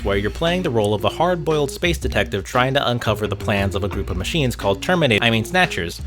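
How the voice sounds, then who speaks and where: A man narrating a scripted video essay in a wry, conversational way with casual asides, sometimes casually sweary. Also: lowish voice. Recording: background music under narration. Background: music.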